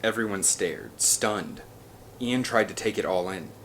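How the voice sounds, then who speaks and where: A man's voice: on the low side, animated, measured pace, fairly bright tone. Man dramatically reading an adventure story, background noise. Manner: emphatic, theatrical delivery. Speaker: audiobook reader. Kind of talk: dramatic scripted narration of a children's adventure novel.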